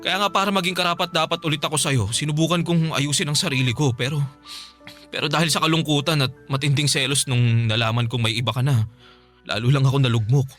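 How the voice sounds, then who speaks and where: A man's voice: on the high side, fairly even intonation, fast talker, a bit bright, faint music behind, close to an everyday voice. An actor playing a lovesick young man pleading emotionally with his ex in a Filipino radio drama. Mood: desperate pleading. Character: Terence, desperate, pleading, lovesick young ex-boyfriend.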